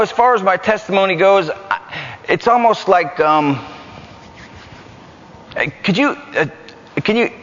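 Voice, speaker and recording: A man with a New York accent preaching a lively, joking sermon in a church. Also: sits high. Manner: animated, joking, repetitive. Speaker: guest preacher. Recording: muffled church hall recording.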